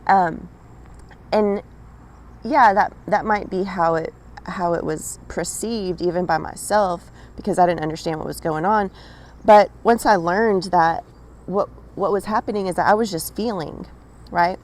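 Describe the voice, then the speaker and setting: A woman with a raspy voice giving a casual channelled spiritual message, talking straight to the listener with frequent "right?" and "okay?". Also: on the low side, unhurried, a little mellow.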